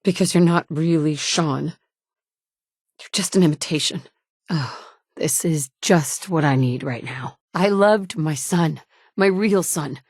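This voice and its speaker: A tough, self-reliant game heroine who speaks bluntly and matter-of-factly, her voice sitting a little low. The recording is a dry, close studio take with no room sound at all. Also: slow, a little mellow, dry recording, exaggerated voice. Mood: bitter. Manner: blunt, matter-of-fact delivery. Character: adult woman survivor, tough and self-reliant. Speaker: player character. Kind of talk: video game voice lines. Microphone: close studio mic.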